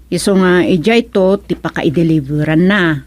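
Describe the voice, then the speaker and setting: A woman's voice: fairly low pitch, normal speed, no echo, natural voice. A woman acting a touchy, complaining married villager in an Ilocano radio comedy drama. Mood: confiding, worried.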